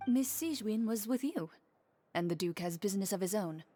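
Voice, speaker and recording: A woman's voice: medium pitch, expressive, a little fast, dark tone, soft background music, close to an everyday voice. A woman voicing a composed, terse champion duelist in a video game. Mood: cool, reserved. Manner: reserved, terse, unruffled.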